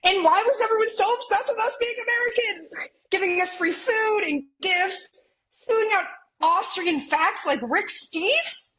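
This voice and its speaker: A woman's voice: very high voice, measured pace, fairly bright tone, low-quality recording. A woman playing a flighty, defensive, mystical millennial twin in a romcom table read, with dramatic, quippy delivery. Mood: agitated, mocking.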